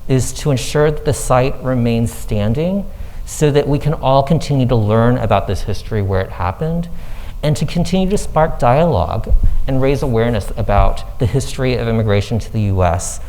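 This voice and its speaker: Thailand-born man, foundation director, earnest measured panel talk in a room. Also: mid-range voice, a little mellow, poor audio. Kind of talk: panel presentation with slides. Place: event room with live audience.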